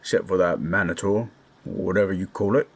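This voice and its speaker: A man voicing a kindly, bashful orc companion in a roleplay audio drama, talking in a halting, folksy, gently awkward way. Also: sits low, fairly even intonation. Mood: soft, reassuring. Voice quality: husky.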